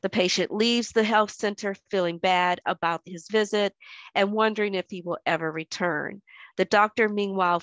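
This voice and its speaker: A woman with a head cold and a stuffy voice, presenting a workshop scenario over a video call in a measured way. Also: medium pitch, a bit bright, dry recording. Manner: measured, reads the scenario aloud with pauses.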